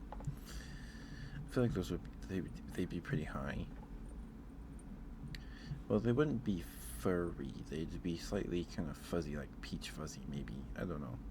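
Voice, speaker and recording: Slow, very low-voiced man musing aloud. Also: noisy background. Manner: informal, hesitant musing, thinking aloud. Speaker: livestream host. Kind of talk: casual rambling livestream chat with viewers.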